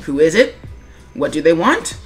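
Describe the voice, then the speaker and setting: A woman's voice: deep voice, sing-song, normal speed, soft background music. A woman giving semi-scripted creator commentary in a chatty way, with wry asides and questions put to the listener. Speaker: webcomic creator and narrator.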